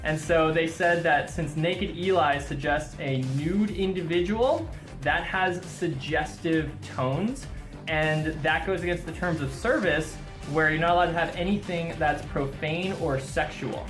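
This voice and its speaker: A woman's voice: low voice, a little slow. A woman recounting a personal account in a matter-of-fact way. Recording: echoey room. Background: music.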